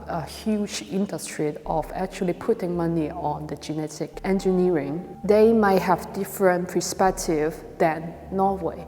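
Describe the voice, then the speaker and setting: Mellow woman, Hong Kong accent, reverberant hall. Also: lowish voice. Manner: hesitant, halting non-native English. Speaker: video and installation artist. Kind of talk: artist talk presenting an exhibition.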